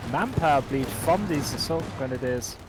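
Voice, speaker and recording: A man giving play-by-play on a strategy game match with brisk, bantering delivery. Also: medium pitch, lively intonation, over loud music. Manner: brisk, self-deprecating banter. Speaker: game caster. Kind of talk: esports play-by-play commentary. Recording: battle sounds under voice.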